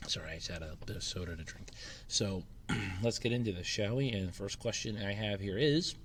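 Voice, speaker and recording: A man's voice: fairly low pitch, fairly quick. A man hosting his own channel in a casual question-and-answer chat, a little scratchy from a cold, rambling with fillers and restarts. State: slightly scratchy, recovering from a cold. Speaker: YouTube channel host. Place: temporary makeshift room with echo. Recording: echoey temporary room.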